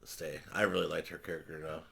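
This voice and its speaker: A man with a very low voice co-hosting a casual podcast. He answers in short, blunt verdicts and swears freely. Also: little pitch movement, fast talker, vocal fry, fairly bright tone, husky. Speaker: podcast co-host. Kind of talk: casual chat.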